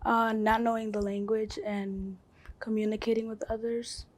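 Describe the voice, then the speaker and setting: A woman's voice: a little high, level tone, moderate pace. A teenage girl from the Dominican Republic answering interview questions casually, with many fillers. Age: teens. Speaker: student interviewee. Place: school studio.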